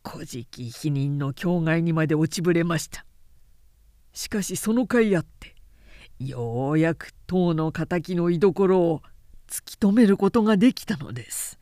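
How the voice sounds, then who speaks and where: A woman's voice: fairly low pitch, lively intonation, dark tone, no room sound, studio quality. A woman voicing a gaunt, dignified young samurai avenger in an audiobook, speaking formally and with grave restraint. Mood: grave, restrained. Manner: formal, measured samurai speech. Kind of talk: audiobook character dialogue.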